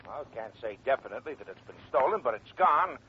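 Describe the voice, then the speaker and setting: An actor playing a brisk male insurance company boss, giving the facts in a matter-of-fact way in a 1950s radio drama. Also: mid-range voice, animated, close to an everyday voice. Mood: businesslike. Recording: dull lo-fi 1950 radio broadcast with faint hiss.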